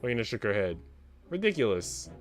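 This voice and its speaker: A man reading a visual novel aloud as expressive story narration for a livestream. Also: mid-range voice, a little fast, soft background music.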